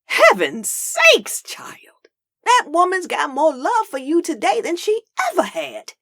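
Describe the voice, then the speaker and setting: A woman's voice: sits high, wildly swooping pitch, takes it slowly, fairly bright tone, dry recording, studio quality, exaggerated voice. A woman voicing a devout, loving, feisty old grandmother in a comic dub, speaking in a folksy dialect. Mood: warm, consoling. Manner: folksy Southern-style dialect, drawn out.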